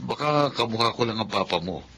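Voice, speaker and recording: Actor as an evasive husband, noisy location. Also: male voice, medium pitch, expressive, a little fast, close to an everyday voice. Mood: embarrassed. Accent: Filipino. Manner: apologetic, evasive. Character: evasive husband denying he is her father. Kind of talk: Filipino television melodrama acting.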